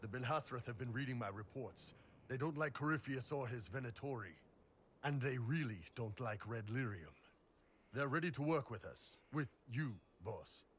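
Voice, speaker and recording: Easygoing warrior captain , male actor, medium pitch, blunt informal game dialogue with background ambience. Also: animated, normal speed, a little hiss or hum, close to an everyday voice. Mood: frank. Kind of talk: video game dialogue acting.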